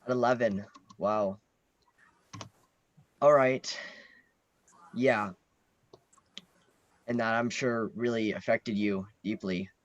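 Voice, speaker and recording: A man's voice: lowish voice, steady tone, takes it slowly, long pauses, dry recording. A young man conducts a casual interview in a laid-back, slightly hesitant manner. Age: teen or young adult. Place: online video call. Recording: dull lo-fi call audio.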